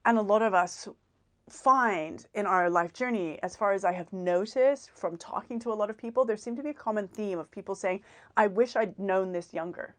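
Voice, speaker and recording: A woman's voice: medium pitch, moderate pace. A woman hosting a personal finance live stream, talking in a rambling casual monologue with many fillers and repeated words, a little run down. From grew up in Hong Kong, Canada, Australia and New Zealand.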